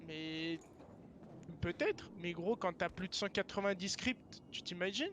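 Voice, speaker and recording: A man's voice: high voice, a little slow, background noise. A man arguing casually in online game voice chat, slangy, blunt and defensive. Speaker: game server founder.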